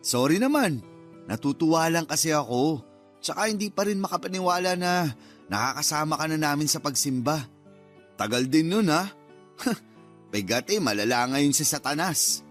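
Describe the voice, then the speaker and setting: A teasing young cousin in a radio drama, jokey banter, highish voice, bit of music behind. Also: male voice, animated, moderate pace, pauses often, fairly bright tone. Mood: playful. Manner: joking, bantering. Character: playful, teasing young male cousin, food-loving.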